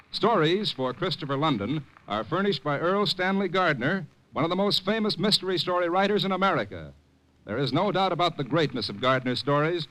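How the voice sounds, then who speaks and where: Man, old-time radio announcer, high voice, lively swooping delivery. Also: rough recording. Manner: polished, promotional, rising and falling.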